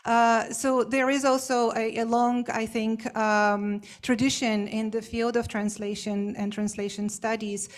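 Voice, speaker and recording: A woman's voice: on the high side, little pitch movement, unhurried, hardly any pauses. A woman whose first language is Bulgarian, answering a question as a symposium panelist in a hesitant, explanatory way with many fillers. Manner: explanatory and academic.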